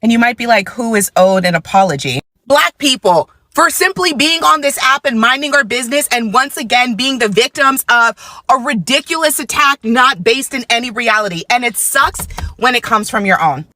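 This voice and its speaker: A woman's voice: fairly high pitch, expressive, normal speed, sharp tone, no echo, clean studio sound. A woman giving emphatic social media commentary, repeating points for effect in an exasperated way. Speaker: commentary creator.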